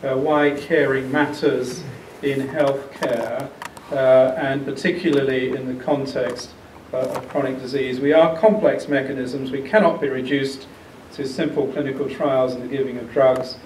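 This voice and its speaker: An older man giving a public lecture, speaking in a measured, explanatory way with frequent pauses. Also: mid-range voice, steady tone, darkish tone, a little hiss or hum. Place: large lecture theatre. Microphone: podium mic, then handheld. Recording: echoey hall picked up from a distance.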